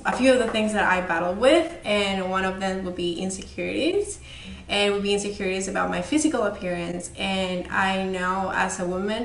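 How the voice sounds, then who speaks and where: A woman's voice: mid-range voice, fairly bright tone, slight background noise. A woman in her twenties from El Salvador, giving a candid, earnest personal motivational talk. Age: 20s. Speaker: speaker. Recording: echoey room.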